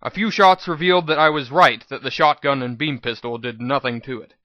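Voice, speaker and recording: A man's voice: mid-range voice, fairly quick, pushed and bright, no room sound, dull audio. A man giving a dramatic first-person scripted narration as the reader of a fan fiction audiobook.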